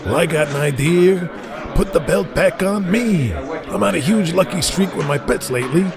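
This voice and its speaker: An actor playing a brash, cocky, foul-mouthed young pro wrestler in an audio drama. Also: male voice, on the high side, moderate pace, gravelly. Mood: cocky, eager. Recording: music playing behind the voice.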